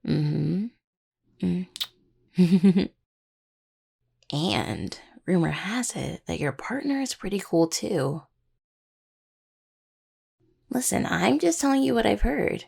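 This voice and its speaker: Husky, gravelly young necromancer woman, acted. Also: lowish voice, darkish tone, heavily acted. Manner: halting, casual, teasing. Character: affectionate, playfully stubborn young necromancer healer, a devoted partner. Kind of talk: intimate roleplay audio, one-sided dialogue to listener.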